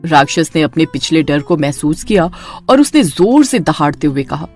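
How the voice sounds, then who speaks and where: A woman's voice: sits low, sing-song, normal speed, a little mellow, soft background music. A woman narrator reading a scripted horror story in a dramatic storytelling manner.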